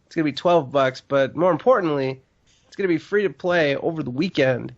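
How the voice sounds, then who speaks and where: A man's voice: a little high, a little fast, lo-fi sound. A man co-hosting a casual gaming podcast, a bit congested, talking in a rambling, joking way with lots of fillers. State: congested from allergies. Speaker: podcast co-host.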